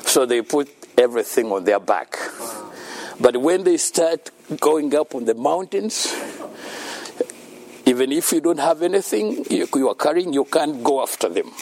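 Slow, lively-voiced man. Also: a little high. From Uganda. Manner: earnest, laughs often between points. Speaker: speaker at a children's home. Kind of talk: faith-based motivational talk.

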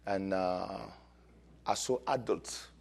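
A man from Congo, a guest pastor, sharing a fervent testimony in church, halting often with fillers. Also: low-pitched, a little mellow, husky.

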